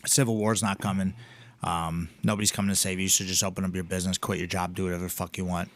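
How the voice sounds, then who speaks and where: A man co-hosting a casual podcast, rambling and swearing, his pitch jumping up when he gets excited. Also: fast talker. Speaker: podcast co-host, gym owner. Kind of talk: casual profane chat.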